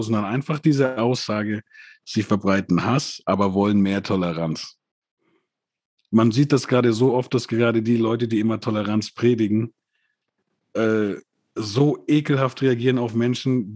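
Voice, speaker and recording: A man co-hosting a casual rap review chat, relaxed and laughing often, who grew up bilingual. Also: mid-range voice, husky, no room sound, clean studio sound. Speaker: podcast co-host reviewing rap releases.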